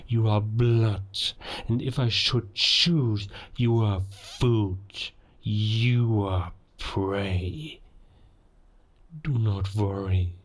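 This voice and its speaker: A man playing a menacing, ancient werewolf who scorns humankind, speaking in a gravelly, theatrical, deliberate way in a cinematic audio roleplay. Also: fairly low pitch, exaggerated voice. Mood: menacing, taunting. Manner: deliberate, theatrical, philosophical villain monologue with dramatic pauses.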